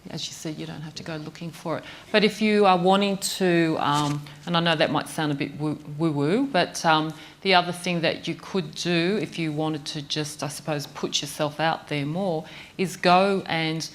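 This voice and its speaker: A woman speaking on a discussion panel in a gentle, reflective way. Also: sits low, fairly even intonation, normal speed, few pauses.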